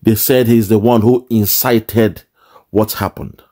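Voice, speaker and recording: A man's voice: lowish voice, fairly even intonation, slow pace, dark tone. A man commenting on a video clip, indignantly explaining and repeating his points with rhetorical questions.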